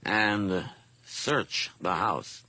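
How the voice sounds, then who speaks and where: An actor playing a stolid night watch officer in an old radio drama, questioning in a measured, official way. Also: male voice, fairly low pitch, bright, forceful tone, dry recording, heavily acted. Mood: stern, questioning.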